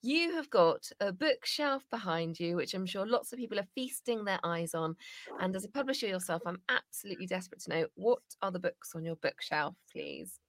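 A woman's voice: on the low side, expressive, fairly quick, no echo. A woman interviewing a guest remotely, chatty and eager.

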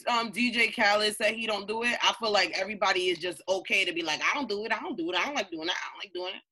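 A speaker in their late twenties talks in an easygoing, matter-of-fact way in casual chat. The voice is bright and sits at a middle pitch. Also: racing. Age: late 20s.

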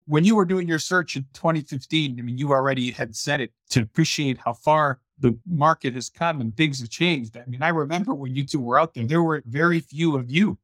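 A man hosting a podcast, framing a question with measured "I mean"s. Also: on the high side, a bit bright, dry recording. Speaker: podcast host. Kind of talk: interview questions.

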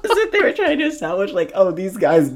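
A man's voice: sits high, wildly swooping pitch, fairly quick. A young man co-hosting a podcast in casual comedic banter, rambling and swearing freely. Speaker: podcast co-host.

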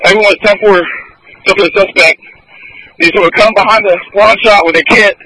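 A man's voice: high-pitched, steady tone, fairly quick, background noise. A man, a police officer in the field, giving urgent, terse reports over a two-way radio.

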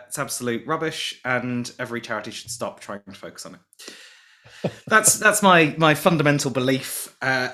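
A man's voice: mid-range voice, bright, forceful tone. A man speaking as an interview guest, making emphatic, opinionated points and often repeating words as he thinks. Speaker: guest, charity manager. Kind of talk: casual interview.

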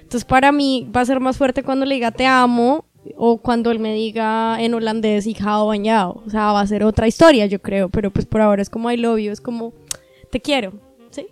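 A woman's voice: fairly high pitch, fairly even intonation, a little fast, smooth. A woman podcast guest explaining her views earnestly in casual chat.

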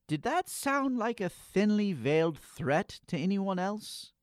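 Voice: mid-range voice, very animated, takes it slowly, very noisy. A performer playing a morose, exasperated boy in a comic radio play, with theatrical, old-fashioned phrasing. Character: Eddie Poe, morose, exasperated boy in a spooky parody.

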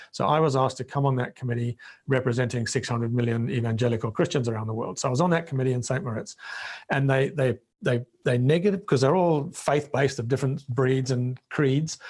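A man in middle age, raised in Zambia with Bemba as his first language, answers an interviewer at length. His voice sits in an ordinary middle pitch, and he talks emphatically, often doubling words as he restarts a thought. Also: a little mellow. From Zambia and Rhodesia. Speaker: interview guest, businessman.

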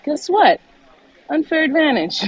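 A woman's voice: high voice, very animated, unhurried, lo-fi sound. A woman in casual podcast chat, emphatic and punchy in her points. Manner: emphatic, punchy one-liners.

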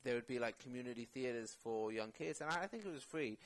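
A man's voice: medium pitch, fast. A man talking casually as an actor guest in an interview, rambling with many fillers and restarts.

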